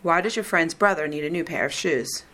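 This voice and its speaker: A woman reading the script of a recorded listening exam in a slow, deliberate, evenly paced way. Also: deep voice, sharp tone. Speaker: exam reader.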